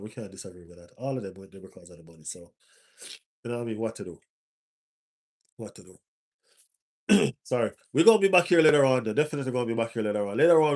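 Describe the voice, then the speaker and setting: A man's voice: lowish voice, a little mellow. A man with a stuffy nose hosting a casual live stream as a football fan, repeating his phrases for emphasis.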